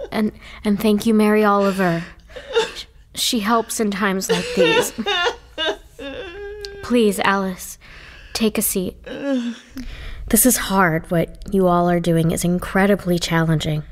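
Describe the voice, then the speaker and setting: An actress playing a flustered, polite reverend trying to keep order at a funeral in an audio play. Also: female voice, mid-range voice, a little mellow, close to an everyday voice. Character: nervous female reverend leading a chaotic funeral. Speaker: Reverend Sandy, officiant at the funeral.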